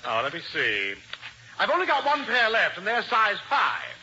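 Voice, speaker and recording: An actor playing a wisecracking, excitable, zany store salesman in a 1940s radio comedy. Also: high voice, moderate pace, heavily acted. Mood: jokey. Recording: muffled, lightly noisy old radio broadcast.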